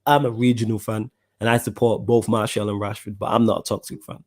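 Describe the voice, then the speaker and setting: Mancunian male podcast host, young, low-pitched, slangy and chatty with viewers. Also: little pitch movement, a little fast. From Manchester, England. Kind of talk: casual solo football podcast chat.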